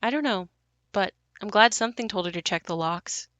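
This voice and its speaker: An actress plays a worried grown daughter telling family memories in an audio drama. Her voice is bright and forward, close and dry, with a conversational, matter-of-fact delivery. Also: medium pitch, brisk, no room sound, close to an everyday voice. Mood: reflective. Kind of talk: audio play monologue, conversational.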